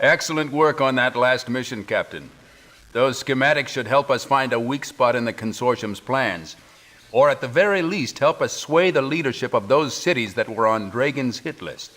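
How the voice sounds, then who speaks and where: A man's voice: mid-range voice, expressive, normal speed, fairly bright tone, lightly acted. A middle-aged man playing a stern military commander, speaking formally and officially in a video game cutscene briefing. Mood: official, serious.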